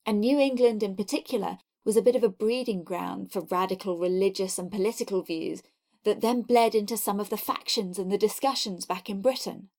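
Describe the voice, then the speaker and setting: A woman's voice: mid-range voice, fairly even intonation, brisk, mellow. A woman hosts a history podcast with a mid-range voice. She reads from a script but keeps it chatty and enthusiastic, the way a storyteller would. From not Scotland.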